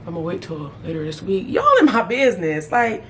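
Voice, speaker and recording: A woman in her thirties chatting casually as a beauty vlogger while doing her makeup, playful, with sudden high exclamations. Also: big pitch swings, brisk, fairly bright tone. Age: 30s. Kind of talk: casual get-ready-with-me chat.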